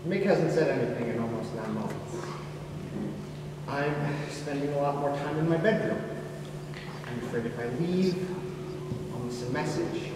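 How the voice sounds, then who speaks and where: A man's voice: on the high side, normal speed, long pauses, lightly acted. Obsessive, sincere teen boy played by a young man, stage monologue; distant recording with noisy background. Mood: forlorn. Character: earnest, obsessive teenage boy confiding odd beliefs. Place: school theatre stage, recorded from the audience. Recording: noisy, very echoey hall from far off.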